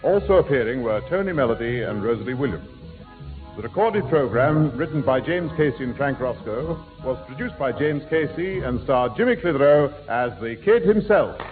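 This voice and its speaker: A man's voice: medium pitch, lively intonation, moderate pace. A man working as a radio announcer, reading scripted credits in 1960s broadcast style. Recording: tinny, echoing old radio with music.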